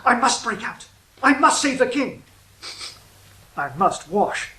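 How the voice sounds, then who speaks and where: A man's voice: extremely high pitch, very animated, slow pace, pushed and bright, roomy sound, heavily acted. A male actor playing a pompous, put-upon royal poet, declaiming a theatrical monologue in a florid manner. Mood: conspiratorial, resolute. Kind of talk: theatrical narrated monologue in verse and prose.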